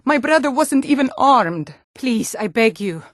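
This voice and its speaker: A woman's voice: a little high, expressive, measured pace, no echo, clean studio sound. A woman voicing a proud, blunt adult Nordic townswoman in a fantasy video game, with earnest, dramatic delivery. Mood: frightened, pleading. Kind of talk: scripted fantasy game dialogue.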